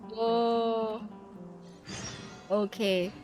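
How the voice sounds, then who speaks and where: A woman streaming a game live, chatting casually and giggly as she thinks out loud and reads bits of text. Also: a little high, level tone, extremely slow, soft background music.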